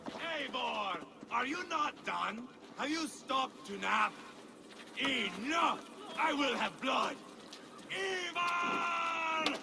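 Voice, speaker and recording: An actor playing a sadistic, gleeful middle-aged Norse warrior in a video game, gravelly and theatrical, taunting and unstable. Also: male voice, expressive, drawn-out words, heavily acted. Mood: impatient fury. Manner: theatrical, swinging from low menace to roaring. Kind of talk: dramatic video game acting. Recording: noisy game ambience behind the voice.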